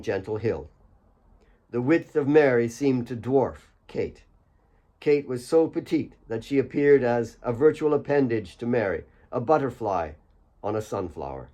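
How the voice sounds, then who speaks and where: A man's voice: mid-range voice, a little slow, a little mellow. A man reading his own fiction as an audiobook, in scripted storytelling narration.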